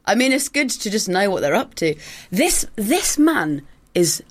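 Lively, giggly woman. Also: medium pitch, a little slow. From Croydon, England. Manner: giggly, expressive, swears casually. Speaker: guest. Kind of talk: casual comedic chat.

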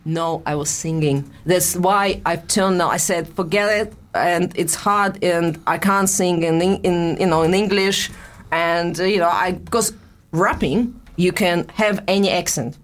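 A young adult woman with a Russian accent speaks in a fairly low voice. She talks in halting, broken English, stopping and restarting her sentences as she chats in an interview. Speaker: guest, boxer and musician. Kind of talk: casual interview chat.

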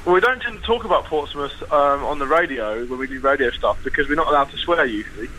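A man's voice: on the high side, fast, sharp tone, slight background noise, poor audio. A man chatting about football as a podcast guest, wry and self-deprecating, with frequent restarts. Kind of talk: casual podcast chat.

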